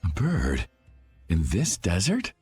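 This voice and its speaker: Slow, flustered, anxious father. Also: low-pitched, huge pitch swings, a little mellow, slightly rough, exaggerated voice. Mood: uneasy. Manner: halting, anxious, polite. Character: grateful, flustered father stranded in desert. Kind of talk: video game voice acting. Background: background music.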